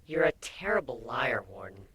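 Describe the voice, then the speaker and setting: A man's voice: medium pitch, very animated, moderate pace, vocal fry, fairly bright tone, slightly rough, full character voice. A man voicing a playful, food-loving young female pony wife in a teasing, earnest storybook reading.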